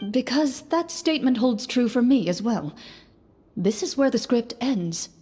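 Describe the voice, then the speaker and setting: A woman voicing a composed, literal young game master in a video game, speaking in a measured, formal, deadpan way. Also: on the high side, husky, in a large hall, faint music behind.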